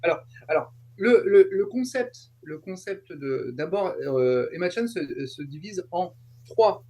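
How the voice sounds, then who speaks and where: A middle-aged man from France chatting as an interview guest, explaining things in a halting way with frequent digressions. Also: sits high, animated, darkish tone. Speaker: guest, YouTuber and translator. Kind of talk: casual interview chat, explaining.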